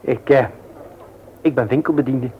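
An actor playing a courteous, gently flirtatious single father in a radio play, polite, bantering and slightly hesitant. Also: medium pitch, steady tone, moderate pace, creaky, close to an everyday voice. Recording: dull old radio recording with noise.

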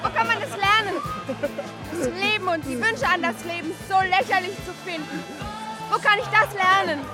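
An extremely high, earnest young voice in a seventies radio drama. It is solemn, with loud music and crowd sound behind it. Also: female voice, moderate pace. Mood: solemn, wondering. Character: earnest, solemn youngster longing for serious love. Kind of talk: 1970s German radio play acting.

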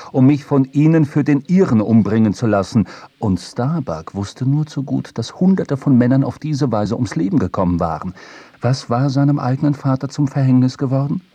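A man narrating a literary audio drama with a husky voice, recorded in a studio. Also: medium pitch, dark tone, no echo. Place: dry studio.

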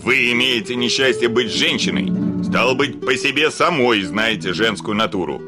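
An actor playing a hot-tempered, cynical landowner, blunt and sarcastic, in a theatrical classic radio play. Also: male voice, medium pitch, animated, moderate pace, close to an everyday voice. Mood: cynical, lecturing. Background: music.

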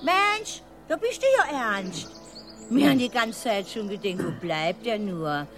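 Chatty housewife, 60s, music underneath. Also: female voice, mid-range voice, lively intonation, a little slow. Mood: excited. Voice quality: chatty, dialect-heavy. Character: chatty older housewife, homesick. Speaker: radio drama character. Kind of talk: radio play acting.

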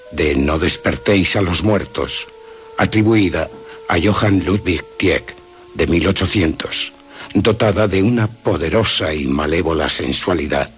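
Male narrator for radio, low register, dramatic scripted read with soft music underneath.

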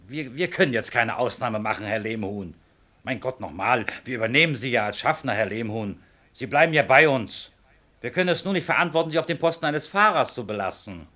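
A flustered bureaucrat in a radio play. His medium-pitched voice is sharp and forceful, insisting emphatically and repeating himself as he refuses. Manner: emphatic, repetitive. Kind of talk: German radio play acting.

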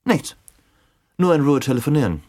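An actor playing a composed, erudite interrogator in a German radio drama, deliberate and insinuating. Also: male voice, medium pitch, big pitch swings, darkish tone, no room sound, studio quality. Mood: calm, pointed.

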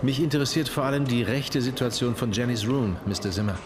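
An actor playing a patient, probing police investigator in a radio crime drama. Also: male voice, medium pitch, brisk, noisy background, close to an everyday voice.

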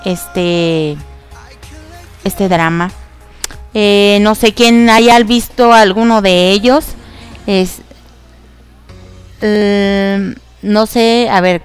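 A woman hosting an online radio show, nasal and congested from allergies, chatting casually with many fillers and giggles. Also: mid-range voice. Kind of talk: casual radio chat about K-dramas.

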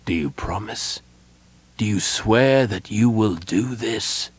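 A man voicing a big, gentle old innkeeper, fatherly and frail, speaking softly and haltingly with a breathy, earnest delivery. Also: fairly low pitch, lively intonation. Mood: urgent, fading.

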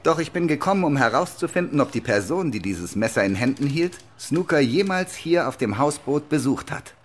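A suave, guarded major in a radio drama. He speaks formally and deliberately with dry irony, his melody very lively over faint ambience. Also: fairly high pitch, slight background noise.

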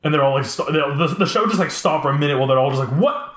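Man, late twenties, rapid-fire casual talker, animated storyteller, echoey room sound. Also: a little high. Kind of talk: casual chat about collectibles. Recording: roomy, echoing room sound.

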